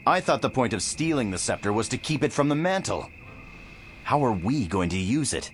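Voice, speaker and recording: Animated male actor as a wary rebel fighter. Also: medium pitch, normal speed, soft background music, natural voice. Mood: doubtful. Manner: questioning, dramatic. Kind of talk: video game cutscene acting.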